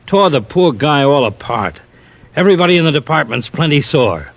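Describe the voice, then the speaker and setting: A man's voice: fairly high pitch, expressive, normal speed, creaky, a bit bright, exaggerated voice. A man playing a grim police officer in a 1950s radio detective drama, plainspoken and grave.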